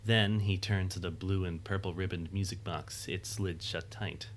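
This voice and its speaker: A man's voice: sits low, steady tone, normal speed. A man narrating a scripted audio drama as a third-person storyteller. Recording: faint background noise.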